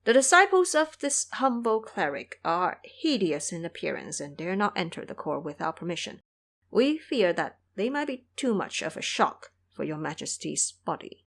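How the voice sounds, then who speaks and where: A woman's voice: lowish voice, sing-song, moderate pace, gravelly, a bit bright, heavily acted. A woman playing a humble, timid Buddhist monk who speaks formally and deferentially in an audio drama. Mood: cautious, deferential.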